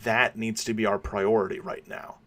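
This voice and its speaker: A man's voice: fairly low pitch, little pitch movement, moderate pace, a bit bright, close to an everyday voice. A man doing an earnest young sidekick. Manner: earnest, pleading.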